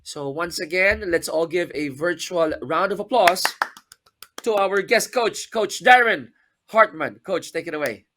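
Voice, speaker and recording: Webinar host, man, emphatic and energetic, bright voice. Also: a little slow, slight background noise. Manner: upbeat and emphatic, with big swings of pitch and loudness. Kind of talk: lively webinar hosting, mixing in Tagalog.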